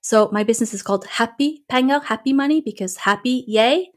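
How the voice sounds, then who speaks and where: A woman money coach, a native Norwegian speaker, talking as a guest in a casual podcast interview, lively and playful, often acting out little scenes. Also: fairly high pitch, fairly quick.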